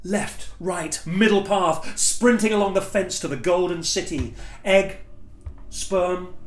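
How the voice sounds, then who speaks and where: A man in his fifties with an English accent performing spoken-word poetry in a theatrical, emphatic way with deliberate pauses, recorded in a living room. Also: high-pitched. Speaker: performance poet. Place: small living room, indoors. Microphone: camera or phone a short distance away. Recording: echoey room sound.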